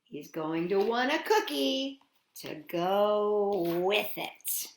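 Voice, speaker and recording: A woman hosting storytime, reading a picture book aloud to young children in an animated, playful way with chatty asides. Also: medium pitch, slow, roomy sound, a little hiss or hum.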